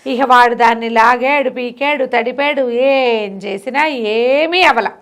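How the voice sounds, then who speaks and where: A woman's voice: fairly high pitch, slow, a bit bright, clear, resonant tone. A middle-aged woman tells a moral story conversationally, repeating phrases for emphasis and explaining the lesson simply.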